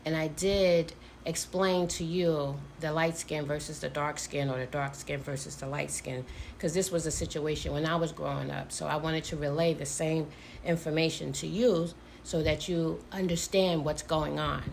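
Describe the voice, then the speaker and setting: A middle-aged woman, a mother talking with her daughter, measured and hesitant, with many pauses and fillers. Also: sits low, fairly even intonation, creaky, darkish tone, a little hiss or hum. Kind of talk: casual conversation.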